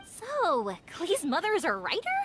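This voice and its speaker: A woman playing a bubbly, eager, warm-hearted young woman in a video game, peppy and earnest in delivery. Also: very high voice, wildly swooping pitch, a little slow, crowd noise, heavily acted. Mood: curious, wry. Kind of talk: video game character acting.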